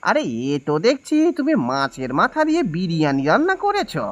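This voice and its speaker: A man's voice: high voice, wildly swooping pitch, a little fast, fairly bright tone, heavily acted. A man playing a poor village husband and father in a cartoon, weary, pleading and easily riled. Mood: pleased surprise. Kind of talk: Bengali cartoon voice acting.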